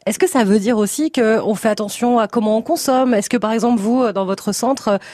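A woman hosting a radio call-in show, talking in a lively, chatty way and often jumping in with questions. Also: fairly high pitch, no room sound, studio quality. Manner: lively, chatty, quick to interject. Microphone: studio microphone.

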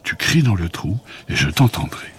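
A man's voice: low-pitched, sing-song, moderate pace, fairly bright tone, breathy edge, full character voice. A man putting on a tiny sweet fluff creature. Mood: grateful.

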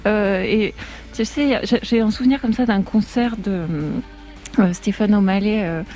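A woman's voice: mid-range voice, a little slow, dark tone, soft background music. A woman musician guest on a radio show, speaking thoughtfully and with frequent hesitations. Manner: thoughtful, reflective, many hesitations. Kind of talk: radio interview conversation.

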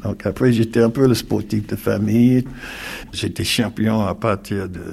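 Gravelly older American man, husky. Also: on the low side, steady tone, darkish tone. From United States, North Carolina. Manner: halting, with many fillers and restarts. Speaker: interviewee, retired community mediator. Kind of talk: reflective interview talk.

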